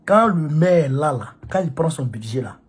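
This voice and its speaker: A man's voice: on the high side, animated, normal speed, breathy edge. A man, who has a cold, giving an impassioned political monologue to supporters in an emphatic, repetitive, preachy way. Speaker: political activist addressing supporters.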